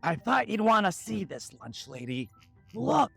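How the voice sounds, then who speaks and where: A woman playing an earnest lunch lady sidekick in a live comic skit, with a creaky, emphatic delivery. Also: medium pitch, very animated, measured pace, no room sound, faint music behind, full character voice.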